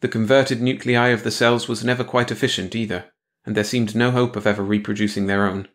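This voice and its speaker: Male audiobook narrator, fairly low pitch, scripted storytelling, bedtime read-along style. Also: little pitch movement, brisk, fairly bright tone.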